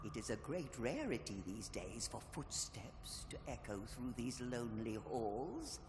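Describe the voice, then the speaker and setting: An actor playing a bitter, suspicious manor-dweller in a video game, with grandiose, archaic, menacing diction. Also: male voice, medium pitch, very animated, a little slow, a little mellow. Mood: grandly welcoming. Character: bitter, theatrical, suspicious sibling in a gloomy manor. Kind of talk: scripted dramatic game dialogue. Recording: echoing hall, long reverb, faint hiss.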